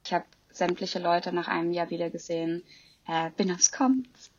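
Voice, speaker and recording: A woman's voice: lowish voice, normal speed, a little mellow. A woman in her twenties chatting in an interview, rambling with many fillers and restarts. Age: 20s. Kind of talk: casual interview chat. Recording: dull lo-fi dry sound.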